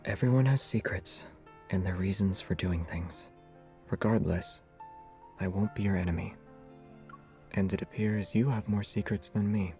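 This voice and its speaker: An actor playing a calm, reserved young man, a secretive hunter, with an unhurried, nonchalant manner in scripted game dialogue. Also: deep voice, faint music behind, close to an everyday voice. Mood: calm, guarded.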